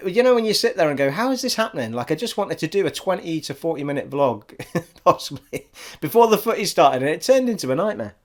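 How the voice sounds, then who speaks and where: A man from Scotland with a generic English accent, rambling cheerfully through a casual gaming vlog from his living room. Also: fairly high pitch. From Scottish, raised abroad in army schools. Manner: chatty and rambling, says "people" a lot. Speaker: gaming vlogger. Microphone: studio USB microphone on an arm.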